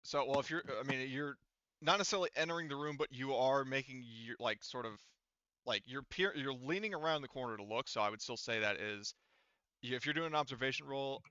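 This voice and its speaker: Bright, clear-voiced male game master. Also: mid-range voice, dry recording, highs cut off. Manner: halting, self-correcting explanations. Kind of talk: running a tabletop roleplaying game, casual.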